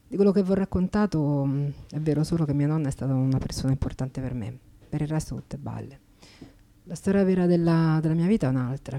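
A weary Roman streetwalker laying her life bare in a stage monologue. Her voice is low and very mellow, subdued and plainspoken, with a Roman accent. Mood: wry, confiding. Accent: Roman Italian. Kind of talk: intimate dramatic monologue.

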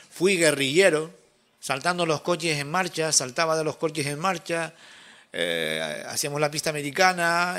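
Man, middle-aged, bright clear tone, slightly high voice, easygoing storyteller, radio studio. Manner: relaxed storytelling with fillers. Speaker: ceramics artisan guest. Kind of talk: casual radio interview answers.

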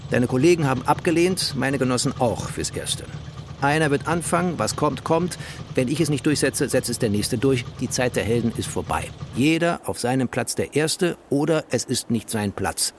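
Actor as animated, stubborn building boss. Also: male voice, mid-range voice, background noise, close to an everyday voice. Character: embattled, stubborn male construction boss, urgent and ironic. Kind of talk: stylised radio play dialogue.

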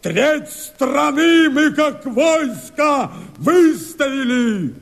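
A man's voice: extremely high pitch, animated, vocal fry, heavily acted. A man acting a fiery Cossack rebel rallying the people, declaiming verse in a radio drama. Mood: exalted, proud. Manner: declamatory, slow, drawn-out delivery with shouts.

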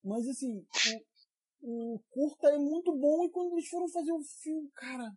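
A man from Rio de Janeiro hosting a casual podcast, teasing his co-hosts with quick joking asides. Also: very high voice, warm tone. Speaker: podcast host.

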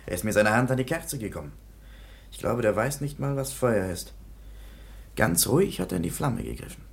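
An actor playing a thoughtful, observant police commissioner of the nineteenth century in a radio drama, measured and musing. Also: male voice, mid-range voice, big pitch swings, vocal fry. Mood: observant, concerned. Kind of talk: German radio drama acting.

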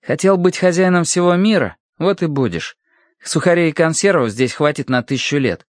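A man's voice: on the high side, animated, moderate pace, no echo, voice pushed up, close to an everyday voice. A man reads out a thoughtful, resolute boy leader in a children's space adventure. The delivery is serious and firm, with a very dry recorded sound. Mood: stern.

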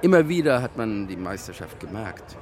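A man's voice: lowish voice, sing-song, moderate pace, a crowd in the background, noisy background. An actor playing a worldly émigré film man in a radio drama, pragmatic and persuasive, with a wry, advising manner.

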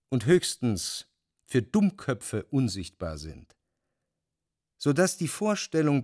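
A man's voice: fairly high pitch, dry recording. A man narrating a literary radio drama in a reflective, earnest manner, recorded close in a studio. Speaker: first-person narrator. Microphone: close, dry studio.

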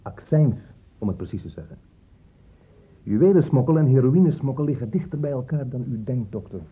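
An actor playing a dry, worldly police inspector in a Dutch radio play, measured and wry. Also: male voice, mid-range voice, animated, slightly rough. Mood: wry, assured. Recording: muffled, dull old radio recording.

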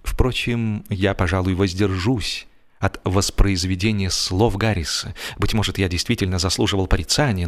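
A man performing a genial, wry gentleman who tells a humorous story in a measured, theatrical, expressive way. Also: on the low side, natural voice. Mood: wry, mock-dignified. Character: genial, wry, musing gentleman narrator of a comic tale. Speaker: audiobook narrator and actor. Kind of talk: dramatized first-person humorous story narration. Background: music.

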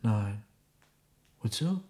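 A man voicing a frail, bewildered old peddler who answers softly and without understanding. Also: fairly low pitch, lively intonation, very slow. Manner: quiet, puzzled.

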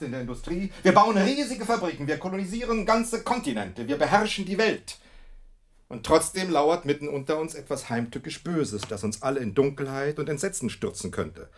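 An actor playing a proud, coolly rational master detective in a German radio drama, speaking in a precise, theatrical and imperious way. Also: male voice, fairly high pitch.

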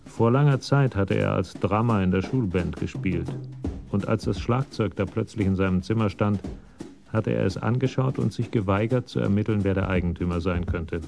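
Deep male storyteller, measured and literary, police radio drama with music under. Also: gravelly. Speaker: narrator. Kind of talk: scripted radio crime drama narration.

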